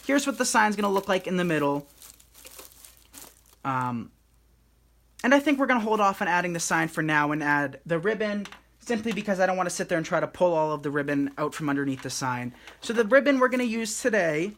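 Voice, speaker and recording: Soothing, relaxed man teaching a craft. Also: high-pitched, a little fast. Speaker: craft demonstrator hosting a live stream. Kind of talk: casual live craft tutorial.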